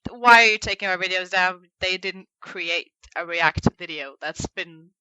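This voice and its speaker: A woman's voice: on the low side, measured pace, bright, forceful tone, smooth, dry recording, dull audio. A woman co-hosting a podcast, telling stories in casual chat and acting out other people's reactions. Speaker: podcast co-host.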